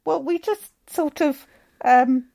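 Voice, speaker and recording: A woman's voice: high voice, slow, creaky, warm tone. A woman co-hosting a podcast comedy sketch, hesitant and filler-heavy, politely amused as she plays along. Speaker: podcast co-host.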